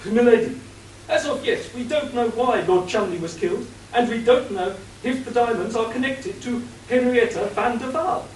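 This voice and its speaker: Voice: mid-range voice, moderate pace, darkish tone, close to an everyday voice. A performer playing an earnest, methodical police inspector in a comic radio play, formal and patient. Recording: echoey room, distant mic, faint noise.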